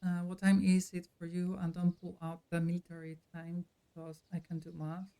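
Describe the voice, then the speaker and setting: A woman streamer chatting casually with her viewers in a friendly, unhurried way while playing a game. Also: lowish voice, completely flat delivery, mellow, smooth.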